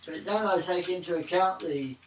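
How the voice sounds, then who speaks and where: A man with a fairly high voice, heard from a distance in a reverberant room. He chats casually at a tabletop game, feeling for his words as he goes. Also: moderate pace. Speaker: tabletop role-playing game player. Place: around a gaming table in a room. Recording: noisy echoey room, distant mic.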